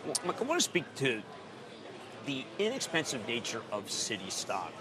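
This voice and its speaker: Male TV commentator, emphatic and lively, clear crowd noise behind. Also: medium pitch, normal speed. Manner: emphatic, rising to animated questions. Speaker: TV market commentator. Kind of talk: live TV business interview. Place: TV studio on a stock exchange floor.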